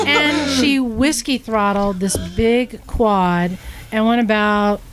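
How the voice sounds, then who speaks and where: A woman co-hosting a podcast, telling a story to friends in a casual, animated way and acting out what people said. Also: fairly high pitch, takes it slowly, heavy background noise. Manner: animated, laughing, acts out quoted speech. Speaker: podcast co-host.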